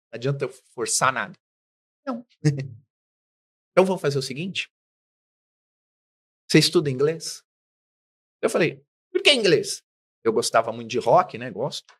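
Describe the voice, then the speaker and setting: A middle-aged man, a business guest in an interview, telling his life story with lively acted-out dialogue. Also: medium pitch, moderate pace, long pauses. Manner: animated storyteller, acts out quoted dialogue.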